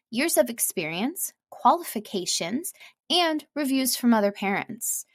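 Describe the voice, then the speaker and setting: A woman delivering scripted voice-over narration as a voice artist, articulating clearly and reading in a measured way. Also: a little high, no echo, studio quality.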